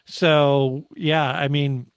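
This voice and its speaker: A man's voice: fairly high pitch, level tone, extremely slow, smooth, no echo, clean studio sound. A man co-hosting a motorsport podcast, recapping a race in casual, enthusiastic conversation.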